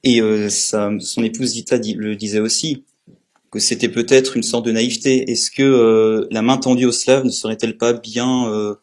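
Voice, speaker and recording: A man from France moderating a live public talk, asking questions in a halting way and searching for words. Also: mid-range voice, level tone. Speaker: moderator, interviewer. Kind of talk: live public interview, semi-prepared questions.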